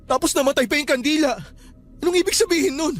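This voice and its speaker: Radio drama: high-pitched Filipino young man, jumpy grieving son racked with guilt, music underneath. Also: fast talker. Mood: frightened, agitated.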